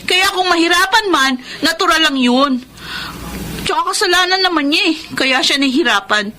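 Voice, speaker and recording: Shrill, spoiled young daughter. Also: female voice, expressive, moderate pace, slight background noise, exaggerated voice. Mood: resentful. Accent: Filipino. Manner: whiny, petulant, sharp-tongued. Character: spoiled, demanding, vain teenage-to-young daughter, resentful of mother. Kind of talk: melodramatic Filipino radio drama acting.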